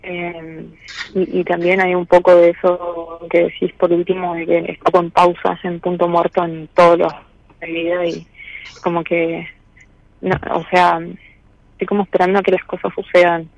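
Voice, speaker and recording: A woman's voice: lowish voice, monotone, normal speed, dry recording. An Argentine woman in her thirties on a call, talking casually and hesitantly with many filler words. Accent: Argentine Spanish. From Misiones, Argentina. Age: 30s. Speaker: caller. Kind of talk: casual personal chat. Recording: dull, band-limited call audio.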